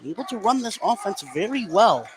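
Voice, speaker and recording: A man's voice: high-pitched, sing-song, brisk. A man doing live radio play-by-play of a basketball game, working as the main announcer. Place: indoor basketball gym. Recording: noisy gym ambience behind.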